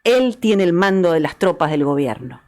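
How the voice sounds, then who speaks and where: A woman acts out a proud, doubtful gaucho governor in a historical radio drama. The voice has an Argentine accent and sits low for a woman, reluctant and wary. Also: big pitch swings, moderate pace, a little mellow. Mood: wary, pressured.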